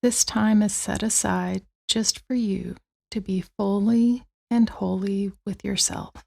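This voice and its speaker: A woman guides a meditation with a soft, mellow tone and a creaky edge. She speaks gently and very slowly, letting long pauses settle between her soothing phrases. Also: medium pitch, fairly even intonation. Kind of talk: guided body-scan meditation.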